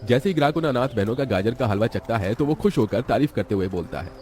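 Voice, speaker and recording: A man's voice: medium pitch, expressive, fast, a little mellow, a little hiss or hum. A man narrating a scripted children's moral story in a dramatic way.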